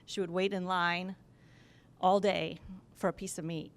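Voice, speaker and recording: Woman, panel speaker, gravelly fry, halting but candid delivery. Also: lowish voice, level tone. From Poland. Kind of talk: personal story at a talk. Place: office event space with audience.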